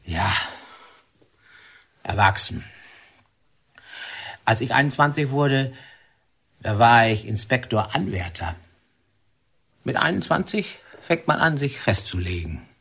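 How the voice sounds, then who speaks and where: Husky, lively, chatty warder. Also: male voice, medium pitch, takes it slowly, pauses often, full character voice. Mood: congratulating, musing. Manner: hesitant, musing, conversational. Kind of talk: naturalistic German radio drama acting.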